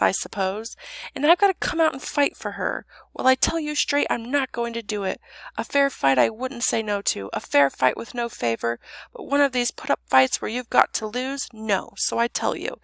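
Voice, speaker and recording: A woman voicing a huge old male dragon, growling and rumbling, with humble, folksy turns of phrase. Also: vocal fry, fairly bright tone, dry recording.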